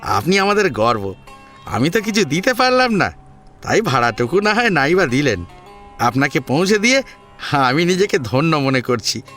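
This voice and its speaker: A man's voice: a little high, very animated, normal speed, fairly bright tone, soft background music. A man playing a humble, deferential cycle-rickshaw driver who speaks earnestly and reverently in an audio story. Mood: moved, reverent.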